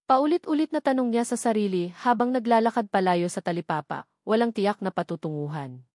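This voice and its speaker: A woman's voice: medium pitch, brisk, a little mellow, no echo, clean studio sound. A woman reading scripted dramatic story narration as an audiobook narrator.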